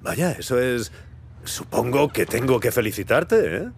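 A bemused, good-natured husband in a game drama. He speaks haltingly and slowly, his pitch swinging widely over faint ambience. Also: sing-song, slight background noise. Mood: friendly. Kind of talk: Spanish dub of cinematic game drama.

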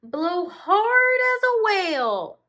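An excitable cartoonish storybook animal voiced by a woman, very high and loud. The lines are stretched out slowly in a sing-song swoop, exclaimed eagerly for young listeners. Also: heavily acted. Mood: excited. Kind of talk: slow expressive storybook read-aloud for children.

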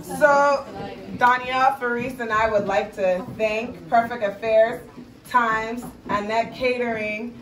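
A woman's voice: on the high side, fairly even intonation, slow pace, a bit bright, a little hiss or hum. A woman giving a hesitant dinner toast to friends, thanking the caterers. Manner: hesitant, with fillers. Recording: echoey room from a distance, crowd.

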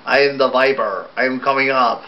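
A man voicing a slow, ominous little old man who calls on the phone. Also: mid-range voice, fairly even intonation, slight background noise, lightly acted. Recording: dull lo-fi recording.

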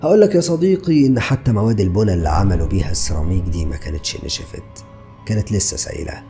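A man's voice: low-pitched, lively intonation, rapid-fire, vocal fry, warm tone, soft background music. A man in his thirties with an Egyptian Arabic accent, narrating a true crime story and asking the listener rhetorical questions. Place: small dark studio indoors. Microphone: podcast mic on stand in front.

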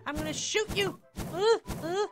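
Woman playing an excitable, very high-pitched game heroine. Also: a little slow, over loud music. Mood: playful, combative. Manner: exclamatory, repeats phrases, squeals and mutters. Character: Ballora, excitable, easily flustered cartoonish young heroine in battle. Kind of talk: Minecraft roleplay gameplay acting.

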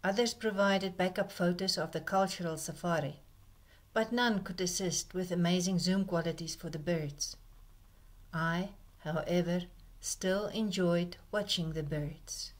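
A woman reads a scripted voice-over at an unhurried, drawn-out pace. Her delivery is gentle and reflective, slipping now and then into soft, breathy near-whispers. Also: fairly low pitch. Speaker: narrator of a birding slideshow. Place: voice-over recorded apart from the pictured outdoor scenes.

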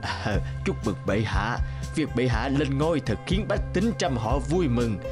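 An actor playing a servile royal courtier in a cartoon, obsequious and formal. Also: male voice, mid-range voice, very animated, unhurried, over music. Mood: fawning.

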